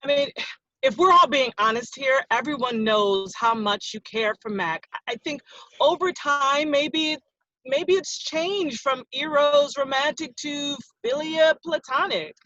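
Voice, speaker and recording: An actress plays an earnest, conciliatory friend with a slightly high female voice, phrasing things carefully and hesitantly. It is a play reading with a dry, dull, lo-fi sound. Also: measured pace, pushed and bright, dry recording. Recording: dull lo-fi close recording.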